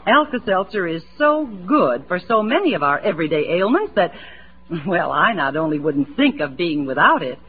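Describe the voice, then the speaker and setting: An actress playing an earnest, chatty housewife giving an emphatic testimonial in a 1940s radio commercial, on a lo-fi recording. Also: female voice, fairly low pitch, big pitch swings, moderate pace, husky. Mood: earnest, eager. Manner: emphatic scripted testimonial delivery. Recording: tinny, lo-fi old radio recording.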